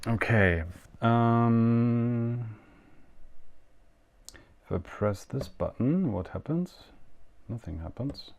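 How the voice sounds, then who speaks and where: A man's voice: mid-range voice, animated, very slow, vocal fry, long pauses, darkish tone. A young man whose first language is German hosts a live show in casual, hesitant talk with many fillers and restarts. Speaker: show host. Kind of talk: casual live-stream hosting.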